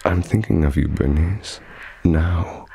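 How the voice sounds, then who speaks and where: A man playing a seductive, self-possessed Celtic poet in a comic radio drama, murmuring in a breathy, poetic way. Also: low-pitched, slow pace, warm tone, no room sound, heavily acted.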